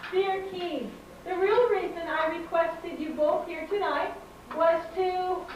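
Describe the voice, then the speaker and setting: A woman voicing an earnest young queen in an amateur puppet show, speaking formally and a little haltingly. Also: very high-pitched, a little mellow. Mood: pleading. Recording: echoey hall from far off, noisy.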